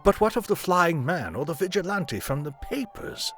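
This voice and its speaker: A man's voice: on the high side, big pitch swings, moderate pace, fairly bright tone, soft background music. An actor playing a dutiful, cautious butler in a radio drama, formal and deferential in his speech. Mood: concerned, deferential.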